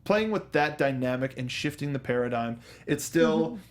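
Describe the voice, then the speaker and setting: A man with a mid-pitched voice hosts a relaxed entertainment discussion. He talks conversationally, wandering into asides and acting out imagined lines as he explains his point. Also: normal speed. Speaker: talk show host. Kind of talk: casual film and video game discussion.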